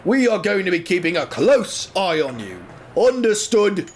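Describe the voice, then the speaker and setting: A man's voice: high voice, very animated, normal speed, slight background noise, pitched above the performer's own voice. A man playing a stern, impatient quarry foreman in an audio drama, curt and overbearing.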